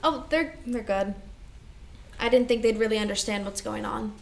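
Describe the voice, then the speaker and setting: A woman's voice: fairly high pitch, normal speed, creaky. A woman playing a responsible, composed elder sister grieving her mother in a staged play reading. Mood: guarded, tense.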